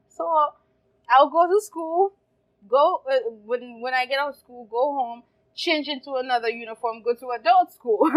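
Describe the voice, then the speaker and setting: A woman, in her thirties, tells stories with lively energy and frequent laughter. Her voice sits on the higher side for a woman. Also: low-quality recording. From Haiti. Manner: lively storyteller, laughs often. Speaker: guest, caterer and café owner. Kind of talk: casual interview storytelling. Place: farmers market.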